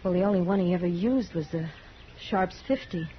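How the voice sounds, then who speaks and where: An actress playing a polite, uneasy young prairie farm wife with a hesitant manner, in 1950s radio western style. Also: female voice, lowish voice, fairly quick, close to an everyday voice. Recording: muffled, faintly noisy vintage radio recording.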